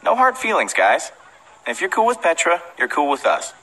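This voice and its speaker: Bright, confident young rival leader. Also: male voice, a little high, huge pitch swings, moderate pace, husky, heavily acted, rough recording. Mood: easygoing. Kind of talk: animated video game voice acting.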